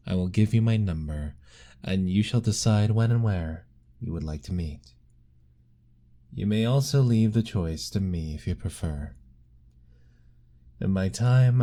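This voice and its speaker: A man doing a deep, courtly vampire lord. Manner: formal, old-fashioned, gently pleading; soft, intimate, often whispered. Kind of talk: ASMR roleplay audio.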